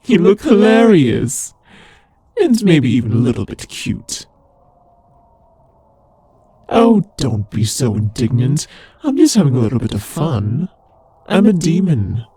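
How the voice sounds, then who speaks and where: A man voicing a husky, haughty demon lord. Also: medium pitch, sing-song, measured pace, long pauses, mellow, no room sound, full character voice. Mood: amused, teasing. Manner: imperious, condescending, playfully taunting. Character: haughty, teasing demon lord ruling part of hell. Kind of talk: ASMR roleplay audio drama addressed to the listener.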